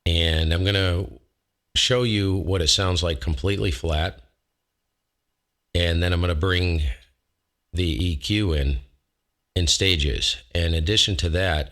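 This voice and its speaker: A man with a low voice hosting a ham radio show, talking casually through a microphone demo from his home shack on a close dynamic mic, rambling and correcting himself as he goes. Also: fairly bright tone. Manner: rambling, self-correcting, folksy. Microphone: close dynamic studio mic.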